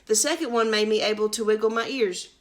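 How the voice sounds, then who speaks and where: A woman's voice: on the high side, fast, close to an everyday voice. Woman reading as an earnest young boy prince. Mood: eager, earnest.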